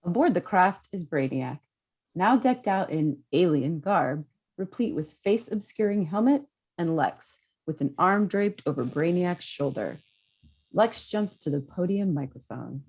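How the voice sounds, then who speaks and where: Husky, lowish-voiced woman narrating a screenplay. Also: animated, measured pace, a little mellow. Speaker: stage-direction reader.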